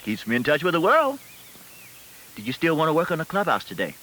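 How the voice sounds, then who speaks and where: A man's voice: on the high side, huge pitch swings, brisk, sharp tone, heavily acted. An older American man playing a kindly, encouraging retired schoolteacher in a scripted educational film, explaining things patiently. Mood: friendly, neighborly. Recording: faintly noisy 1980s 16mm film soundtrack.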